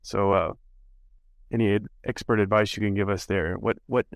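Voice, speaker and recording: A man's voice: lowish voice, steady tone, moderate pace, no room sound. A male podcast host chatting casually and rambling, with many fillers. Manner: rambling, full of "you know" and "like". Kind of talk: casual interview chat.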